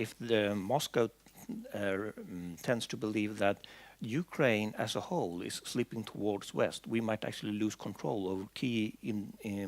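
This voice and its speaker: Hesitant man moderating a panel. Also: lowish voice. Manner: hesitant questions with fillers. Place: conference hall.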